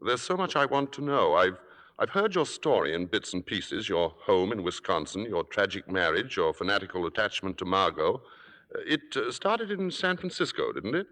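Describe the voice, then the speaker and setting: Suave low-voiced calculating newspaper columnist. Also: male voice, big pitch swings, pushed and bright. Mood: suave probing. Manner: urbane, formal, deliberate phrasing. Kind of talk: 1950s radio drama acting with first-person narration. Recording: vintage radio broadcast with music.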